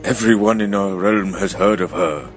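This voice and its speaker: A man playing a solemn, absurdly earnest wizard guide in a comic radio play, with portentous, dramatic pauses. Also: deep voice, soft background music, close to an everyday voice. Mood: solemn, reverent.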